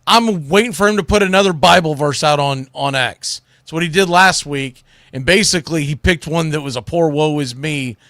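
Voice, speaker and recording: A man's voice: on the high side, normal speed, fairly bright tone, no room sound. A man hosting sports talk radio, giving blunt, exasperated, folksy opinions into a close microphone. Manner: exasperated ranting, blunt, mildly salty, folksy.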